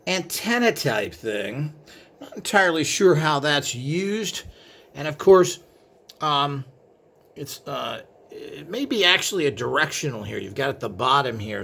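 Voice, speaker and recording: A man's voice: fairly high pitch, creaky, a bit bright. A man presenting an informal show-and-tell in a hesitant, rambling way, recorded indoors behind a handheld camera.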